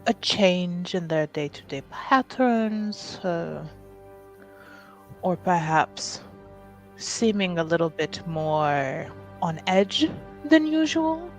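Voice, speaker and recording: Woman playing a low, measured, gently chiding noblewoman. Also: expressive, soft background music. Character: composed, gently teasing noblewoman, fond elder confidante.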